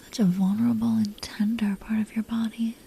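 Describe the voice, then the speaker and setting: A woman playing a possessive, proud but secretly tender dragon queen in an intimate roleplay audio drama, breathy and teasing. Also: on the high side, flat delivery, fairly quick, dark tone, a little hiss or hum. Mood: seductive whisper. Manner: breathy, teasing, haughty, lingering delivery.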